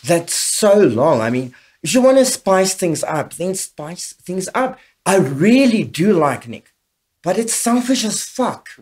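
A gossipy friend, very animated. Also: male voice, high voice, heavily acted. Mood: disapproving. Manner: blunt, chatty, drawn-out emphasis. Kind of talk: radio drama dialogue.

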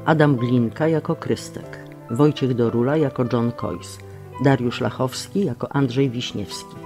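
A man working as an announcer, reading scripted radio drama credits. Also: mid-range voice. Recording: music under the voice.

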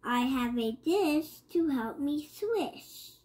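A young child with a high voice reads a rhyming picture book aloud. The pace is extremely slow and halting, with words sounded out and often repeated. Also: animated, a little mellow. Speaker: young reader.

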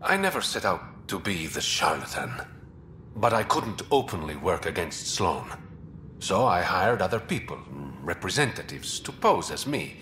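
An actor playing a suave, wry smuggler and secret crime boss in a game, teasing and self-assured. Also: male voice, low-pitched, bright, forceful tone, a little hiss or hum, full character voice. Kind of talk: cinematic game dialogue acting.

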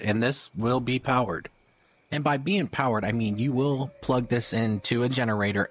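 A man hosting a gaming video, giving casual update commentary in a conversational, rambling way. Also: lowish voice.

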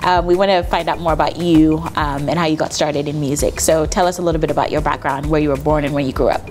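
A young woman hosting a television interview, bubbly and quick, seated in a studio. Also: sits low, fairly even intonation, darkish tone, soft background music. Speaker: TV show host. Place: dark television studio set, seated in armchair. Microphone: lapel mic.

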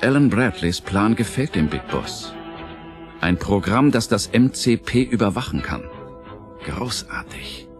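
Male radio play narrator: lowish pitch, suspenseful and theatrical scripted delivery, clear music underneath. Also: big pitch swings, measured pace.

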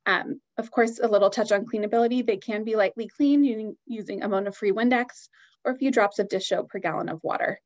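A woman's voice: mid-range voice, fairly quick, lo-fi sound. A woman giving an upbeat, enthusiastic product presentation on a video call.

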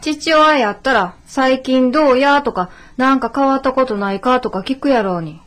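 An actress playing a brisk, nagging daughter in her twenties, blunt and scolding with a Kansai accent, in a radio drama. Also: high voice, fairly bright tone. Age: 20s. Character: brisk, nagging 24-year-old daughter of a shopkeeper.

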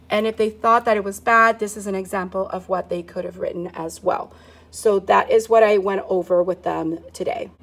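A woman in her thirties, a teacher, chatting casually to her phone at arm's length in an empty classroom. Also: fairly high pitch. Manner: chatty, animated, gestures while talking. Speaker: teacher vlogger. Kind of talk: casual classroom vlog recap.

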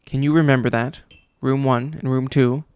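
A man's voice: medium pitch, fairly even intonation, normal speed, a little mellow, clear, resonant tone, no echo, close to an everyday voice. A man voicing a pompous, condescending vice principal who thinks himself a genius, read for a children's storybook. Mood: pompous, scolding. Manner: condescending, mocking. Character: pompous, cruel male school vice principal, self-declared genius.

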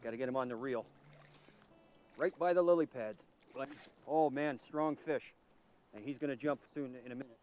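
A middle-aged man hosting a fishing show, commenting with excitement while he fights a fish from a boat on a lake. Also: mid-range voice, moderate pace, pauses often, faint music behind. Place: outdoors on a lake, in a pontoon boat.

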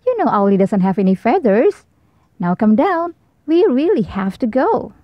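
A woman voicing a patient mother owl who coaxes her child gently, in a children's storybook read-aloud. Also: fairly high pitch, big pitch swings, moderate pace, dry recording. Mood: gentle. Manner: gently coaxing. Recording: dull lo-fi sound.

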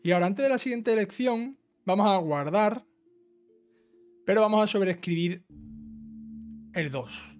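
High-voiced Spanish man guiding through a game. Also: measured pace, long pauses, soft background music. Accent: Spain. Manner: instructional, often ends phrases with a checking tag. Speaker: video game trophy guide host. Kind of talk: informal step-by-step game walkthrough commentary.

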